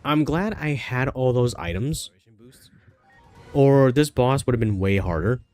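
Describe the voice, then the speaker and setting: A man's voice: mid-range voice, animated, measured pace, a little mellow, faint music behind. A man with a stuffy nose from a cold, chatting casually and thinking aloud while playing a game, with frequent fillers and restarts. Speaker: gaming streamer. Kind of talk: casual gameplay commentary.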